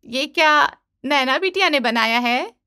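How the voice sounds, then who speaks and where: A woman plays a well-off middle-aged lady in a cartoon tale. Her voice is very high and sharply bright, polite and effusive, recorded dry. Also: lively intonation, measured pace, no echo, full character voice. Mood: gushing. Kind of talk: animated Hindi moral-story dubbing.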